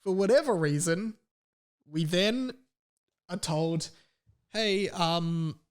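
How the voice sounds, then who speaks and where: A man's voice: high-pitched, lively intonation, slow pace, clean tone. A man hosting a podcast, telling a personal story casually and comedically, with dramatic emphasis and repetition. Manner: casual swearing. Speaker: podcast host.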